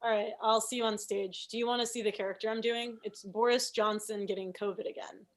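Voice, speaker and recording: A woman's voice: a little high, steady tone, fairly quick, lightly acted. A woman playing an oblivious, meddling show coworker in a comedy table read, chatty and matter-of-fact. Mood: breezy.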